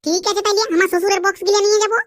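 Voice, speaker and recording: A performer voicing a cheeky young village lad in a regional cartoon, talking in quick, squeaky banter. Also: female voice, steady tone, dry recording, clean studio sound. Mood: boastful.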